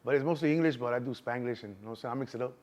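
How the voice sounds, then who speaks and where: A man's voice: medium pitch, very fast, a little mellow, dull audio. A man in his thirties with a Chicago accent, a guest in a casual street interview, talking loosely and constantly saying "you know what I'm saying".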